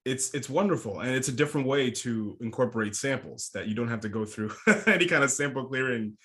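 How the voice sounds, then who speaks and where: Man podcast host, mid-pitched voice, upbeat, laughs easily. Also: brisk, a bit bright. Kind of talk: casual interview chat.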